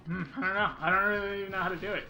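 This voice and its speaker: A man's voice: high-pitched, fairly even intonation, very fast, sharp tone, soft background music. A man streaming a video game, chatting casually with viewers and thinking aloud in a rambling, unsure way. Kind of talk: casual live-stream chat while playing.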